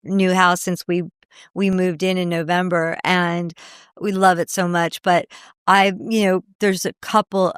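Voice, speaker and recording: A woman's voice: on the low side, flat delivery, measured pace, clean tone, dry recording, clean studio sound. A woman co-hosting a casual chat show, chatty and sympathetic, laughing often. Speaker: podcast co-host.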